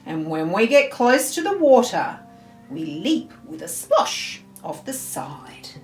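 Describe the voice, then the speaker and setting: Woman as a jaunty, high-pitched penguin. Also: sing-song, takes it slowly, a little mellow, far from the mic. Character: cheerful waddling little penguin. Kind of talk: picture book read-aloud in verse. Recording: roomy echo, faint music behind.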